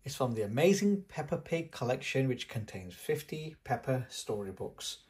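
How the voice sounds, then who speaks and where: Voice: medium pitch, big pitch swings, normal speed, a little mellow. An adult host reading a children's storybook aloud expressively, speaking directly to young children. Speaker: children's book reader and channel host. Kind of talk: scripted children's storybook narration.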